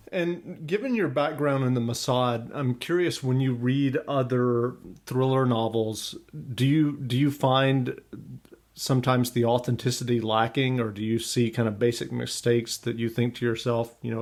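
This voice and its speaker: A man's voice: medium pitch, steady tone, measured pace, darkish tone. A man hosting a podcast, putting questions to a guest in a conversational interview style. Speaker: podcast host interviewing an author.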